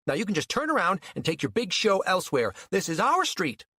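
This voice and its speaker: A man voicing a mean, scolding older woman, raised pitch. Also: wildly swooping pitch, brisk, pushed and bright, husky, dry recording, studio quality, heavily acted. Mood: angry, indignant. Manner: snippy, aggressive scolding.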